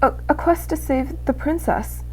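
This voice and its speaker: A woman voicing a small, timid male mouse on a quest in a storybook, speaking earnestly and haltingly. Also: high voice, slight background noise, pitched above the performer's own voice, close to an everyday voice, rough recording. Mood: nervous, earnest.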